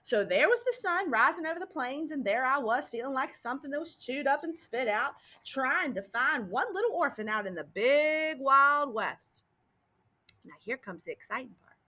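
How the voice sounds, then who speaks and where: Woman putting on a folksy, high-pitched, boastful cowboy sheriff. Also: animated, moderate pace, pushed and bright. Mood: excited. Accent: American. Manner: drawling Old West dialect, exaggerated storytelling. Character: folksy, boastful, dim-witted cowboy sheriff telling his tale.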